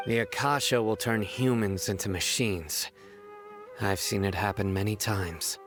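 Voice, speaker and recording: A man's voice: on the low side, unhurried, natural voice. A man playing a grave, world-weary figure in a video game, speaking solemnly. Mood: grim. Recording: game music behind the voice.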